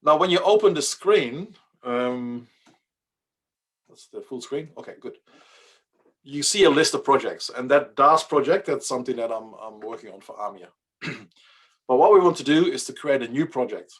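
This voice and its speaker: A man's voice: mid-range voice, lively intonation, normal speed, fairly bright tone, breathy edge. A man whose first language is Dutch presents an online coding workshop as the presenter, talking casually with many fillers and restarts over a computer mic on a video call.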